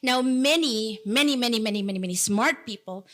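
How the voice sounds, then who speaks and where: A woman with a slightly high voice, speaking English as a second language after Indonesian. She gives a keynote in an earnest, emphatic way, slipping in playful asides to the audience. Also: a little fast, fairly bright tone. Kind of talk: conference keynote talk.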